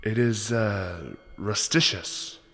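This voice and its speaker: An actor playing a humble monk in an audio drama, urgent yet apologetic and hesitant. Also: male voice, lowish voice, takes it slowly, pushed and bright, long reverb tail, faint music behind, heavily acted. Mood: urgent, deferential. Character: humble, apologetic monk rousing his superior.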